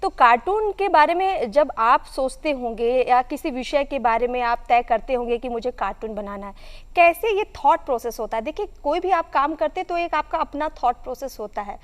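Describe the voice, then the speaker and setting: A young woman with a high-pitched voice works as a show's co-host. She puts questions conversationally, and the sound has a long, hall-like reverb. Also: moderate pace. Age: young adult. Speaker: TV show co-host. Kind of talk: conversational interviewing.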